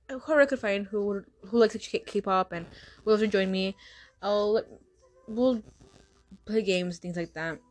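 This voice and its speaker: A young woman hosting a casual solo podcast, talking fast with frequent stutters and restarts. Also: medium pitch, a little mellow. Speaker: podcast host.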